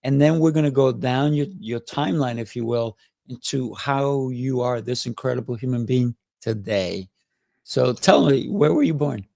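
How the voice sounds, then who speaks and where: A man's voice: mid-range voice, moderate pace, vocal fry, darkish tone, dry recording, clean studio sound. A man hosting a podcast and interviewing a guest, encouraging him and murmuring "mm" as he listens. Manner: encouraging, frequent "mm" backchannels. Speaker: podcast host.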